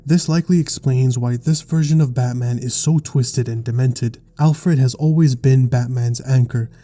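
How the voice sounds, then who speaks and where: A man narrating a scripted explainer in a measured, articulate storytelling way. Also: medium pitch, steady tone, mellow. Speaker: video essay narrator.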